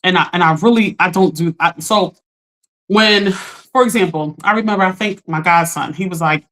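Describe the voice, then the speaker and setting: Casual woman hosting a live chat, voice still recovering. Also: normal speed. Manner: chatty, talks straight to chat, animated pitch jumps. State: recovering from lost voice. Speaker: livestream host.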